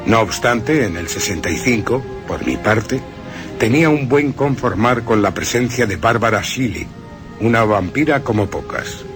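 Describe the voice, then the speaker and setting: A man's voice: medium pitch, animated, normal speed, over music. A man delivering scripted radio commentary on films, with personal asides.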